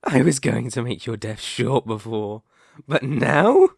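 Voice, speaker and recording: Guy voicing a sadistic male lamia monster for asmr roleplay, slow gloating menace, middle pitch, really dry sound. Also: sing-song, gravelly, a little mellow, husky, no room sound, full character voice. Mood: angry, threatening. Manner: taunting, menacing, slow deliberate delivery with gloating lilts. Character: sadistic, predatory male lamia, half-snake monster toying with prey. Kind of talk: ASMR horror roleplay acting.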